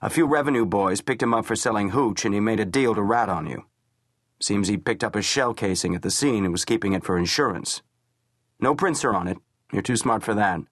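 A man voicing a gruff middle-aged naval intelligence commander who talks bluntly and gives orders. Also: lowish voice, brisk, dry recording, clean studio sound, lightly acted. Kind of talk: audiobook character voice.

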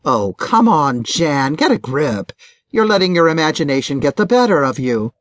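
A woman's voice: sits low, big pitch swings, normal speed, no room sound. A woman voicing a blunt, gossipy, crude female confidante in an audiobook, with a brash, scolding manner. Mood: dismissive.

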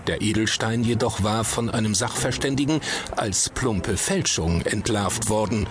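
A man narrating a detective story in a measured, dramatic storytelling manner. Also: fairly low pitch. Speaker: audiobook narrator. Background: prominent music.

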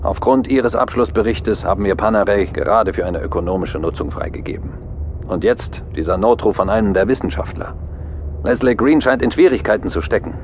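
A man playing a businesslike official who briefs a pilot over a video link in an audio drama, speaking in a brisk, matter-of-fact way. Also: on the low side, animated, darkish tone, slight background noise. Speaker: planetary commission official.

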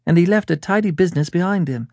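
A man voicing a nervous, chatty, good-humoured young Englishwoman client in a storybook, gushing on in excitable run-on sentences. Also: high-pitched, fast, dry recording, studio quality, pitched above the performer's own voice.

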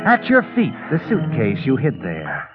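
An actor playing a knowing, sardonic narrator of a 1940s radio mystery, taunting and suspenseful. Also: male voice, medium pitch, wildly swooping pitch, unhurried. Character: sinister, knowing, all-seeing narrator taunting the schemer. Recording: muffled old radio recording, music behind.

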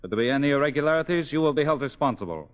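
An actor playing an arrogant, sardonic German army lieutenant with a German accent, clipped and commanding in 1940s radio style. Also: male voice, mid-range voice, lively intonation, racing, close to an everyday voice. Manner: clipped, commanding, drily mocking. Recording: muffled vintage radio broadcast, reverberant hall.

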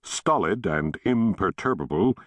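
A man narrating a history audiobook in a measured, expressive storytelling manner, recorded in a studio. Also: low voice, no room sound.

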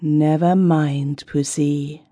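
A woman reading aloud who voices an affectionate young husband, soothing and gently teasing his wife. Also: sits low, steady tone, very slow, no echo, studio quality, exaggerated voice. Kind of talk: storybook reading.

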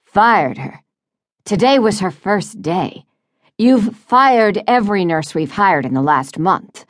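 A woman's voice: low-pitched, lively intonation, slow, no room sound, clean studio sound. A woman voicing a wealthy, impatient grown son with a curt manner in an audiobook. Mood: angry. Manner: curt, exasperated.

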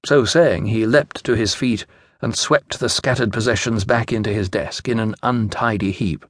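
A man's voice: fairly low pitch, normal speed, no echo, studio quality. A man with a British accent reading scripted narration from a children's story. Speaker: audiobook narrator.

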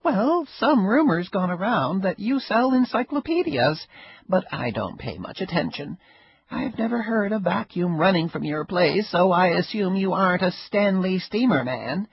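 A man voicing a frail, stubborn elderly grandmother with a sharp tongue, in a husky raised voice. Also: sits high, big pitch swings, normal speed, pitched above the performer's own voice, heavily acted. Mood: tart. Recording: dull lo-fi recording.

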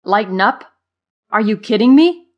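Woman voicing a grumbling, loud pessimist grasshopper. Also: deep voice, steady tone, moderate pace, muffled recording, close to an everyday voice. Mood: exasperated. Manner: grumbling, snappy, emphatic. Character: pessimistic, exasperated male grasshopper, a sour worrier.

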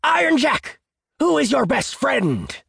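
A man voicing a pompous, needy, menacing jackal trickster in an audiobook, theatrical and drawn-out, with a raspy, gravelly voice. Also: fairly low pitch, wildly swooping pitch, no room sound, studio quality, full character voice. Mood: frustrated, demanding. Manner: theatrical, drawn-out grandiose threats, sudden petulant outbursts. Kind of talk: audiobook character voice.

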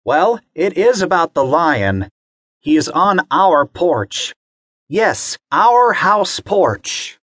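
A calm, practical mother in a children's storybook, as a male narrator voices her. Her tone is lifted and reassuring. Recorded close and dry. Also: fairly high pitch, extremely slow, no room sound, voice pushed up, exaggerated voice. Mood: urgent.

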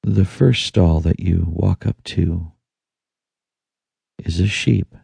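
A deep, very dark and mellow male voice reads a guided meditation. He speaks in hushed, soothing phrases with long pauses between them. The tone is heavily husky and breathy. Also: steady tone, dry recording. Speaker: audiobook narrator. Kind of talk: guided meditation, scripted narration.

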